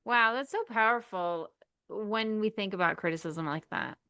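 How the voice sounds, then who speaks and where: A woman's voice: mid-range voice, moderate pace, pushed and bright, clear, resonant tone, dry recording. A woman hosting a podcast as a coach, speaking reflectively and with emphasis. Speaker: podcast host, marriage coach. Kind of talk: casual conversation.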